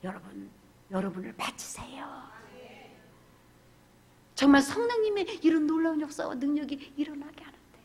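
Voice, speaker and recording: A woman pastor preaching a fervent sermon, swinging from loud cries to hushed whispers. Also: high-pitched, expressive, moderate pace, pauses often, a little hiss or hum.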